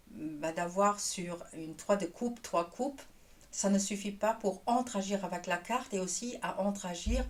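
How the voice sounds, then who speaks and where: A woman's voice: mid-range voice, darkish tone. Luxembourgish woman, hesitant French. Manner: hesitant, searching for French words with restarts. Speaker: tarot reader and energy worker. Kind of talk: casual YouTube introduction.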